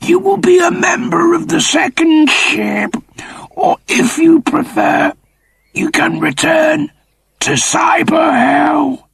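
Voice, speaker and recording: A man voicing a cold, officious chimp-like creature in a strained, creaky falsetto, with slow, pompous delivery in an audiobook. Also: a bit bright, no room sound, exaggerated voice. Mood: coldly persuasive. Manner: slow, deliberate, pompous pronouncements.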